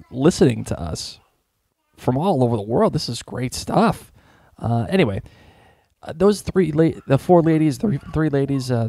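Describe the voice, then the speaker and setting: A man's voice: mid-range voice, warm tone, no echo. A man hosting a podcast in casual, informal chat, often hesitating mid-sentence. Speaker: podcast host.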